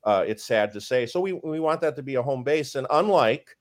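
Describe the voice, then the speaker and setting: Booming-voiced priest, emphatic and indignant. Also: male voice, expressive, a little fast. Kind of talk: casual conversation on a live stream.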